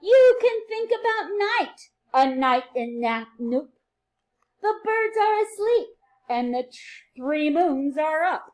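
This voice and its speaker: A woman with a high voice reads a picture book to young children as their teacher. Her delivery is playful and sing-song, swinging widely in pitch. She moves between expressive reading and softer, chatty asides to her listeners. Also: slow pace. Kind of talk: animated children's read-aloud with chatty asides.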